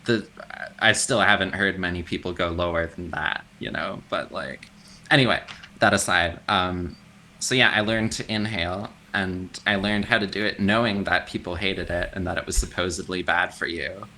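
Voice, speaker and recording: A man with a deep voice, chatting loosely as a podcast guest, swearing casually and laughing easily. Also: moderate pace, pushed and bright, a little hiss or hum. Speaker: guest, extreme metal vocalist. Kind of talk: casual interview chat.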